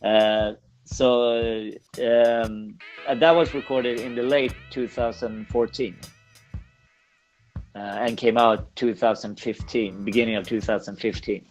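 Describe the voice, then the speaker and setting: A man's voice: mid-range voice, steady tone, slow pace, lo-fi sound, faint music behind. A man from Sweden with a Swedish accent, a native Swedish speaker, talking casually in an interview and often repeating himself as he searches for words. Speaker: podcast guest, punk band singer.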